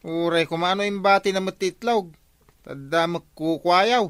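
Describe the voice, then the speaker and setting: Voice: mid-range voice, measured pace, smooth, no echo, studio quality, natural voice. A performer playing an inquisitive, scheming village watch volunteer in a comedy radio drama, questioning and coaxing with a northern Philippine Ilocano accent.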